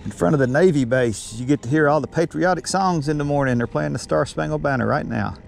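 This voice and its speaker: A man's voice: a little high, fast, gravelly. A middle-aged man chatting casually and folksily as he fishes from a small boat, talking into an action camera. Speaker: fishing vlogger. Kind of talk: casual chat while fishing. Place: outdoors on a small boat on calm water. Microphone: body-worn action camera. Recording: steady noise from outdoor action camera.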